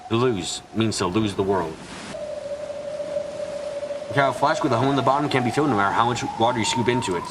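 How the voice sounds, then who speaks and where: A man's voice: mid-range voice, fast, long pauses, heavy background noise. A man reading a visual novel aloud as dramatic scripted narration on a stream.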